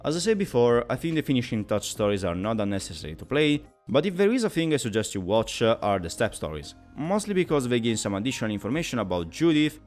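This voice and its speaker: A man's voice: medium pitch, sing-song, fast talker, hardly any pauses, darkish tone, soft background music. A man delivering a scripted video game review in an explanatory, opinionated way.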